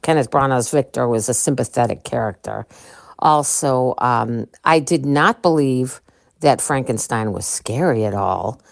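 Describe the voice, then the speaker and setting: A woman co-hosting a podcast, talking casually and excitably to listeners, with lots of ums and restarts. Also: rumbling low, measured pace, gravelly, no echo, clean studio sound. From Chicago. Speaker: podcast co-host.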